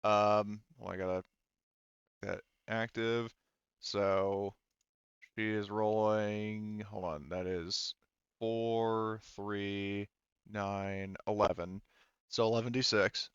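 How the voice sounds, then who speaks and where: Male game master, smooth lowish voice, halting think-aloud delivery at the table, dry but slightly dull lo-fi audio. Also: steady tone, no room sound. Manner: halting, thinking aloud while working through rules and dice. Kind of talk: running a tabletop role-playing game, casual chat.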